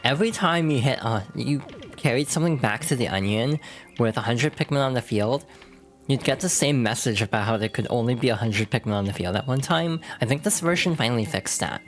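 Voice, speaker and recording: A game streamer talks through a run in a loose, rambling way, full of little fillers and asides. The voice is hoarse and a bit froggy. Faint game music plays underneath. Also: mid-range voice, a little fast. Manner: relaxed, rambling, thinks aloud with many fillers. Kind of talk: casual gameplay commentary.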